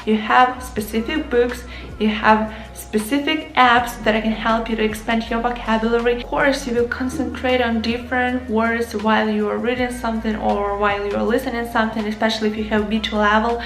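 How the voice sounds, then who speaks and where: A woman's voice: on the high side, little pitch movement, fairly quick. Young Ukrainian-native woman teaching English to camera, earnest, in a roomy echo. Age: young adult. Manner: earnest, addresses viewers as guys. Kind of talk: casual advice lesson to camera. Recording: echoey room with faint music.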